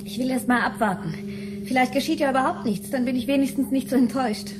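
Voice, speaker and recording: A woman's voice: high-pitched, fast, music in the background, natural voice, low-quality recording. An actress playing an eager, slightly apprehensive young test subject in a radio drama, hesitant and girlish. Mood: tense anticipation.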